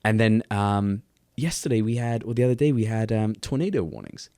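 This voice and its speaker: Chatty low-voiced man telling a story. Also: a little fast, dry recording, clean studio sound. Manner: chatty storyteller, repeats words, animated. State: getting over a cold. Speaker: podcast co-host. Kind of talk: casual chat.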